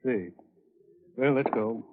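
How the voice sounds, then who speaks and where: Narrow, phone-like vintage radio recording. A man plays a composed senior doctor at a middling pitch, sceptical and clinical, putting questions without hurry. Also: slow pace, slight background noise, close to an everyday voice. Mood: businesslike. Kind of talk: 1940s radio thriller acting.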